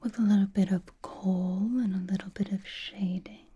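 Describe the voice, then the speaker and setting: A woman playing a flirtatious, teasing vampire in a whispered ASMR roleplay, with intimate, breathy delivery and soft sighs. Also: mid-range voice, steady tone, normal speed. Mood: coaxing, flirtatious. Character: flirtatious, possessive vampire companion, teasing and reassuring.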